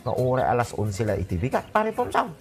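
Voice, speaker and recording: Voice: medium pitch, wildly swooping pitch, fast talker, a little mellow, soft background music. A performer playing a boisterous, scheming villager in an Ilocano radio comedy drama, with exaggerated exclamations. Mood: boisterous, excited.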